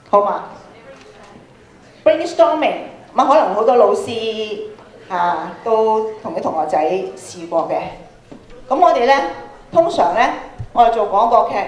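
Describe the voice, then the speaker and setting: A woman's voice: mid-range voice, darkish tone. A woman with a Hong Kong Cantonese accent teaching a workshop to students, prompting them with questions and repeating phrases for emphasis, speaking on a handheld microphone in a large auditorium. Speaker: workshop speaker, radio drama trainer. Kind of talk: interactive lecture to students. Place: large school auditorium, indoors. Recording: echoey auditorium PA sound.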